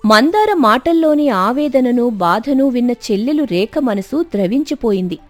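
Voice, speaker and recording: A woman narrating a scripted moral story dramatically, with expressive, emphatic delivery. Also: fairly high pitch, normal speed, no room sound, soft background music.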